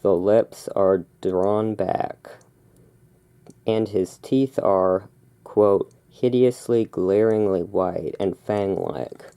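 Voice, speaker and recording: Low pitch, dark mellow tone, ASMR read-aloud, musing off-the-cuff asides, casual host. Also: fairly even intonation, extremely slow, creaky, clear, resonant tone. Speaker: host reading and commenting on a magazine.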